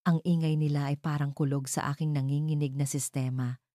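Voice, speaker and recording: A woman with a low, soft-edged voice reads dramatic first-person fiction. She moves through the story in measured, suspenseful phrases, and the recording is close and dry. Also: fairly even intonation, no echo. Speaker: story narrator.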